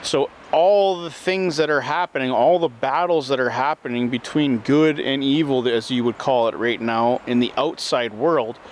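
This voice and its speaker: A man giving a slow, earnest self-help talk that circles back over the same points. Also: medium pitch, a little hiss or hum.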